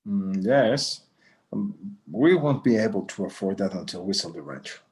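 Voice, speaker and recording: A man playing a gentle, courteous older rancher and father in a screenplay table read, speaking in a polite, measured way. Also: sits low, lively intonation. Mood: earnest.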